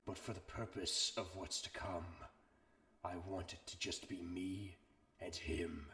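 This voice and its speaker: A man's voice: low-pitched, steady tone, unhurried, bright, forceful tone, slight background noise. A man playing a commanding, brooding robot warlord in a fan comic dub, with grandiose, menacing, theatrical oratory. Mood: cold, menacing. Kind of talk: dramatic villain monologue and narration, fan comic dub.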